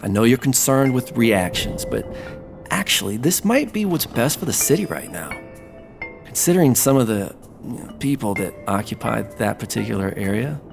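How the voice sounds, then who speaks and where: A man playing a slick, scheming businessman in an audio drama, smooth-talking and persuasive. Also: mid-range voice, very animated, moderate pace, music in the background. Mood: coaxing.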